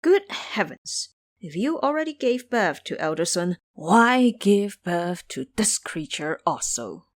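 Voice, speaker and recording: A woman's voice: fairly low pitch, very animated, takes it slowly, no room sound, studio quality. A woman voicing a cunning, brash male monkey hero in an audio drama, with a sly, boastful, theatrical manner. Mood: exasperated. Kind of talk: audio drama character acting.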